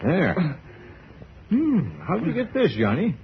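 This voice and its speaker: A man acting a kindly, wily small-town country doctor in an old-time radio drama, middle-aged, folksy, hesitant and gently wry. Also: high voice, wildly swooping pitch, takes it slowly, heavily acted. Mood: wry, probing. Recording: dull, noisy old radio transcription.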